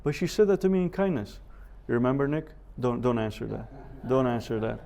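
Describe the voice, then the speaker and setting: A man teaching a Bible seminar as a professor, speaking in a measured way with deliberate pauses, scripture quotations and rhetorical questions. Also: medium pitch, expressive, gravelly, warm tone.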